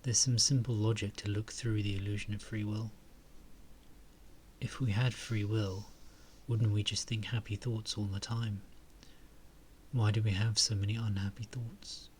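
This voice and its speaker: A man leading a guided meditation and reflection in a soft, calm way, with phrases trailing into breathy murmurs. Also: lowish voice, measured pace, a little hiss or hum.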